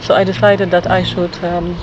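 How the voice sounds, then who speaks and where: A woman's voice: on the low side, level tone, moderate pace, dull audio, noisy background. A woman from Poland, a native Polish speaker, giving candid, reflective interview answers. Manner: candid, reflective, some restarts.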